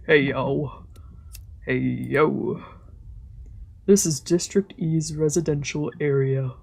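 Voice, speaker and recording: Brash young male thug for a visual novel played by a woman: husky, gruff, curt, swaggering, on the low side. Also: steady tone, slow pace, a little mellow, exaggerated voice. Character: gruff, brash, foul-mouthed young gangster man.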